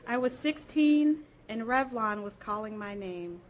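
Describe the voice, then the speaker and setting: A woman reads a first-person piece aloud at a live event. Her voice sits fairly high and her delivery is expressive and theatrical. There is faint noise in the recording. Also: measured pace. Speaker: reader at a library program. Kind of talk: dramatic first-person literary reading.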